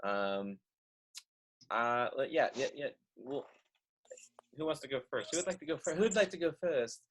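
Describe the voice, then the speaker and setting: Casual man hosting, medium pitch. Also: moderate pace, slight background noise. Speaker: host and cast member. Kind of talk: casual chat.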